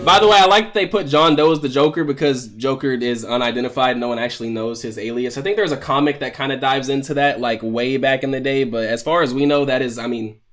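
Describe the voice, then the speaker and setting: A man's voice: mid-range voice, fast, hardly any pauses, soft background music. A man in his twenties giving casual, profane, slangy reaction commentary and reading on-screen text aloud on a noisy recording. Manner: profane, slangy, animated, exclaiming. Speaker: reaction video creator. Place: small room at home.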